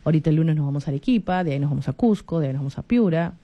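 A woman in her forties with a Peruvian Spanish accent talks on a radio program. Her voice is mellow and soft. She is chatty, keeps adding little tag questions and restarts her phrases as she goes. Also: low voice, lively intonation, rapid-fire, highs cut off. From Peru. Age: 40s. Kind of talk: casual radio talk.

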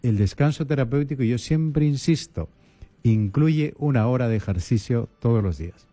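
A priest giving calm, didactic advice on a talk show, laying out plans step by step in repeated lists. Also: male voice, mid-range voice, moderate pace, no echo, soft background music.

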